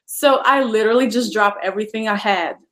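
A woman, chatting as an interview guest, full of "you know" fillers and quick to laugh. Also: medium pitch. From Poland. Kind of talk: casual interview chat.

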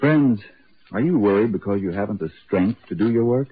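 An American man announcing for 1940s radio in a formal, deliberate, emphatic scripted style. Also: fairly low pitch, animated, husky. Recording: tinny 1940s radio broadcast.

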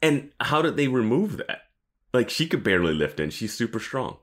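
A man co-hosting a podcast in casual recap chat, animated and incredulous, often acting out imagined lines. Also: mid-range voice, brisk. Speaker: podcast co-host.

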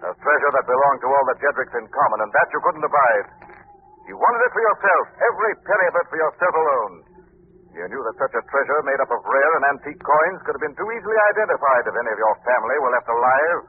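An actor playing a stern, righteous invisible avenger, declaiming deliberately in an old radio drama. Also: male voice, mid-range voice, big pitch swings, narrow, tinny audio, natural voice. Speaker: actor in a radio mystery serial. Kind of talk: old-time radio hero acting. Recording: thin old radio recording, faint noise.

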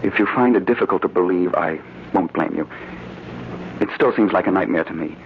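An American actor playing a bewildered, earnest man recovering from amnesia in an old-time radio drama, with dramatic, halting delivery. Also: low voice, gravelly. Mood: grave, earnest. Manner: dramatic, halting, repeating words in disbelief. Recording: noisy, dull old radio recording.

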